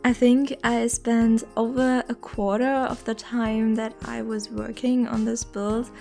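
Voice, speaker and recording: A woman's voice: on the high side, flat delivery, a little slow, vocal fry, a little mellow, soft background music. A woman giving a casual voice-over commentary as a video creator walking through a game build.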